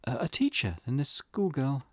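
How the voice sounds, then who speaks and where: A man voicing a grieving, haunted mother with quiet resolve, speaking in a hushed, hesitant manner in a visual novel. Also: medium pitch, sing-song, normal speed, dry recording, clean studio sound, pitched above the performer's own voice. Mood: hushed, shaken. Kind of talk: character voice reading visual novel dialogue.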